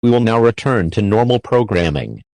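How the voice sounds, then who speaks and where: A man reads a scripted emergency broadcast announcement in a formal, measured manner, as an alert announcer. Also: lowish voice, big pitch swings, a little mellow, a little hiss or hum.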